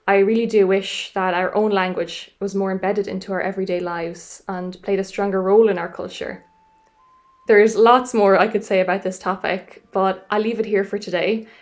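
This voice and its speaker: A woman with an Irish accent, a language teacher hosting a podcast, reading a scripted explanation in a measured, clearly enunciated way. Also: medium pitch. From Ireland. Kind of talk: scripted educational narration.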